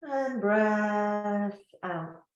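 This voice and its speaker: A woman's voice: mid-range voice, lo-fi sound. Woman guiding relaxation in an echoey room. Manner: gentle, unhurried, soothing, with long pauses. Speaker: yoga nidra teacher. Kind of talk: guided relaxation meditation.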